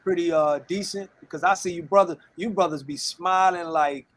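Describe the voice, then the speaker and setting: A man's voice: high-pitched, moderate pace, noisy background. A man in casual, slangy chat as a panelist, enthusiastic and often repeating himself. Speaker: podcast panelist.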